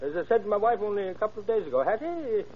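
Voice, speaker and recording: An actor in an old-time radio drama playing an excitable, folksy old gun tinkerer who stammers in rustic phrases. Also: male voice, high voice, very fast. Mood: folksy, proud. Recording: noisy, narrow-band old radio recording.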